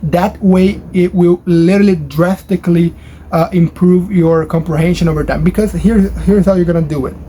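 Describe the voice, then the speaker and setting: A man's voice: steady tone, moderate pace, warm tone. A man whose first language is Portuguese, talking casually to camera from his bedroom in a rambling way with many fillers and restarts. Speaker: language-learning YouTuber. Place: his bedroom at home, near a highway. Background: traffic noise from a nearby highway.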